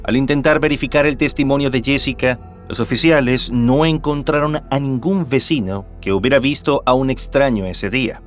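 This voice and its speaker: A man narrating a true-crime documentary from a script in a measured, formal way. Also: mid-range voice. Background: background music.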